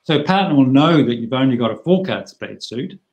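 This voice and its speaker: A middle-aged man teaching a bridge hand over a video call, plain-spoken and chatty with lively asides. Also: mid-range voice, moderate pace, poor audio.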